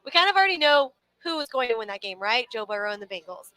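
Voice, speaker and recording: A young woman moves a sports talk show along in a high, bright voice. She sounds animated and tumbles over her words now and then. The recording is dry and close. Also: fast talker, dry recording, rough recording. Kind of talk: casual sports talk, leading segments.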